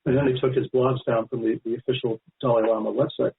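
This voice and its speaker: A man's voice: medium pitch, flat delivery, fast talker, gravelly. A man speaking as a guest author in a radio interview, explaining at length in a conversational, emphatic and digressive way. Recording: narrow-band phone-like line.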